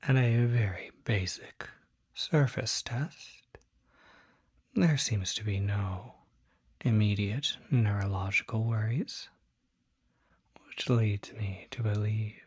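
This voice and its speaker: A performer voicing a calm, courteous demon doctor in an ASMR roleplay, whispering softly with a measured, reassuring, formal bedside manner. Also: medium pitch, no echo, clean studio sound. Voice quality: breathy, whispery.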